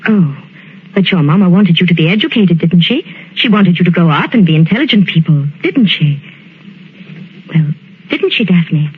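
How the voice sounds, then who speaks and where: A woman acting a gentle, anxious genteel lady in a soothing, earnest 1940s radio suspense style. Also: lowish voice, expressive, moderate pace, pauses often, slightly rough. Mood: gentle, coaxing. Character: gentle anxious genteel lady friend, Miss Amanda, narrating her diary. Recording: tinny band-limited old radio, organ music behind.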